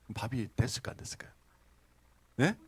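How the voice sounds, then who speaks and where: A man's voice: medium pitch, very animated, fast talker, a little mellow, in a whisper. A man pastor preaching a sermon, telling stories and asking the congregation questions with laughing asides. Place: church sanctuary.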